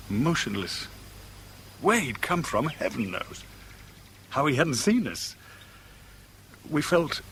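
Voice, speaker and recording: An earnest middle-aged romantic in a vintage British radio play. He is intense and poetic, with an expressive, mobile pitch and faint noise on the recording. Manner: earnest, poetic, measured storytelling. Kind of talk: 1970s British radio drama acting.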